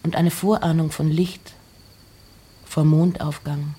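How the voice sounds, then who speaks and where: A woman gives a hushed, measured literary recitation in a husky, breathy voice that often nears a whisper. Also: low voice, fairly even intonation, a little hiss or hum. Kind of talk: slow literary recitation of poems and letters.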